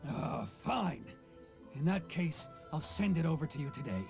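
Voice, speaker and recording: A man's voice: fairly high pitch, animated, normal speed, gravelly, faint music behind. An actor playing a greedy, whining corrupt male tax official in a video game, with a blustering, defensive manner. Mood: grudging. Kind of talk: English video game dub acting.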